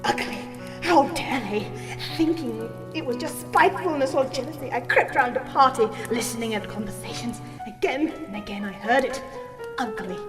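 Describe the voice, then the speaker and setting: A woman playing a brisk upper-crust lady telling a family ghost story in a theatrical audio drama, with old-fashioned posh phrasing. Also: a little high, distant mic, over music, lightly acted. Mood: bitter, dramatic.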